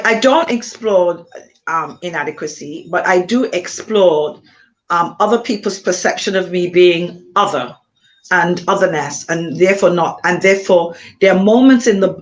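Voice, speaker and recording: A woman from Montserrat with a Montserratian accent, an author being interviewed, speaking thoughtfully with frequent pauses and ums. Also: fairly low pitch, poor audio. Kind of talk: reflective conversation.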